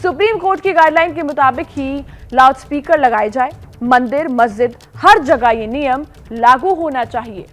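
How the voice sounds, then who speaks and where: Woman doing scripted news voiceover, really high pitch. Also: normal speed, soft background music. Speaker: news presenter.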